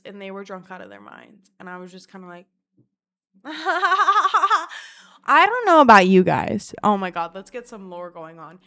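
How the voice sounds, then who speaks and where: A woman vlogger talks straight to her viewers in a loose, rambling way. Her voice sits in a middle pitch, and her delivery is profane and self-mocking. She often bursts into loud laughter. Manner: crude, profane, rambling storyteller. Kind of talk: casual storytelling vlog.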